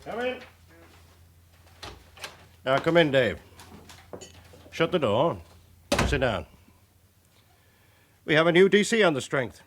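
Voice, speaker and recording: A man acting a stern, brisk chief inspector in a British radio drama, authoritative and clipped in his delivery. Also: a little high, sing-song, noisy background. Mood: brisk, commanding. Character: stern, brisk male police chief inspector, dryly commanding.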